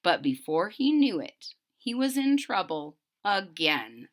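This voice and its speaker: A woman reading a picture book aloud to children in a dramatic, animated way, stopping to explain things. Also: mid-range voice, slow pace. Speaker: children's story reader. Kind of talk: expressive read-aloud with explanatory asides to children.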